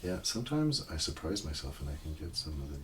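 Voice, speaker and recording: A soft-spoken man doing casual ASMR, muttering as if to himself and slipping into whispers, in a roleplay style. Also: sing-song, fast. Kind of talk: ASMR, casual muttering while browsing TV listings. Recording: clear background noise, page rustling and clicks. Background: page flipping and highlighting sounds.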